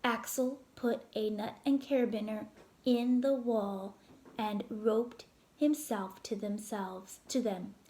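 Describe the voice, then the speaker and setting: A woman narrating a children's story aloud in a deliberate, expressive way. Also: a little high.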